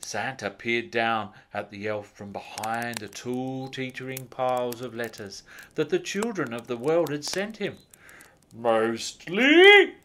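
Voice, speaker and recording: A man reading a children's story as narrator, in a measured, expressive way. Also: medium pitch, a little hiss or hum.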